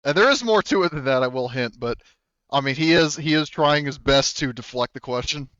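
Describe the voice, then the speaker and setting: A man's voice: mid-range voice, lively intonation, fairly quick, a bit bright, dry recording, dull audio. A man speaking as game master, narrating a tabletop roleplaying game casually and informally, sometimes swearing.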